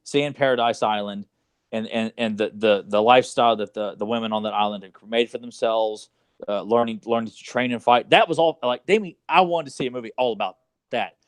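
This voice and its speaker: A man's voice: medium pitch, a little fast, smooth, dry recording, studio quality. A man co-hosting a casual movie podcast, talking in an exasperated, sarcastic way with loose, salty language. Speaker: podcast co-host.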